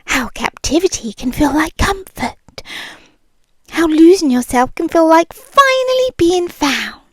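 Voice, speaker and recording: A woman with a British accent voicing a possessive, obsessive rich young girlfriend. She speaks in a soft, breathy whisper, slow, intimate and menacingly sweet, close to the microphone. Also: very high voice, big pitch swings, no room sound, exaggerated voice. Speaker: ASMR roleplay performer. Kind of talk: ASMR roleplay.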